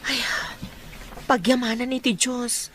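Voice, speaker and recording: A high-voiced actress as a sympathetic friend in a radio drama. She speaks with caring curiosity, asking after others. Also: steady tone, slow, soft background music.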